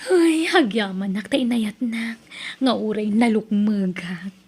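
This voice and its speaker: A woman with an Ilocano accent playing an expressive younger village woman in a radio comedy drama. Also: medium pitch, measured pace, a little hiss or hum, close to an everyday voice. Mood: sweet, coy.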